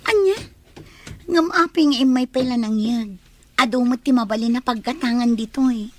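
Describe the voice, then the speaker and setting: A woman playing a guarded, quick-tempered young woman in a radio drama, with sharp, defensive outbursts. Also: fairly high pitch, lightly acted. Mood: irritated.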